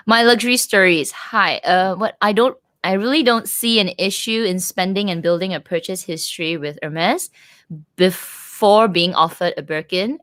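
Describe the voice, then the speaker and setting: A woman's voice: fairly low pitch, fairly bright tone, no echo. Woman hosting a livestream chat, chatty, lots of likes, dry sound. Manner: chatty, laughs often, many likes and restarts. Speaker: livestream host. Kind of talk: casual chat.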